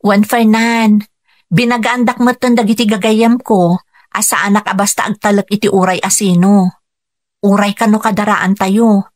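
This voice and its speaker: A woman with a Filipino accent acting an earnest, gentle wife working abroad, in a radio drama. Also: medium pitch, steady tone, normal speed, no room sound, studio quality, close to an everyday voice. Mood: reassuring.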